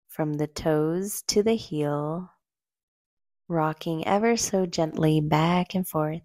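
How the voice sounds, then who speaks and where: A woman leading a guided meditation in a gentle, soothing, unhurried way, leaving long pauses between short phrases. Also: deep voice, dry recording, clean studio sound. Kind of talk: slow guided meditation narration.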